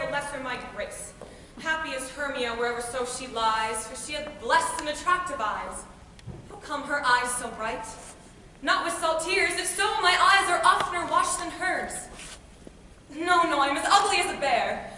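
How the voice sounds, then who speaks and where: A wounded, lovelorn young woman, acted by a woman speaks emphatic stage verse in a very high, bright voice. It is recorded from a distance in a reverberant hall. Faint noise sits behind it. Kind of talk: stage play performance. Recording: echoey hall from far off.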